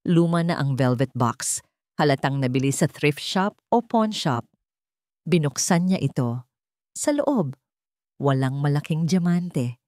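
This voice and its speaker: A woman storyteller narrating a scripted romance drama in deliberate, sentimental, short phrases. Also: low voice, expressive, a little mellow, no echo, clean studio sound.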